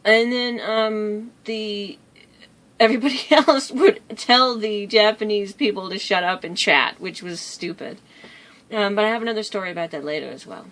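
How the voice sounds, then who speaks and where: A woman's voice: medium pitch, normal speed, a bit bright. A woman vlogger telling stories in a casual, rambling way, easily drifting off track. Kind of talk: casual storytelling vlog.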